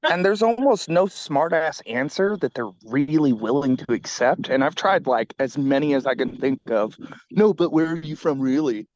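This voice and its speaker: A man in casual group discussion, talking bluntly and with dry humor, swearing freely. His voice sits slightly high and sounds dry and close. The recording is dull and muffled. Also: animated, moderate pace, dry recording. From California. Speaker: book club member.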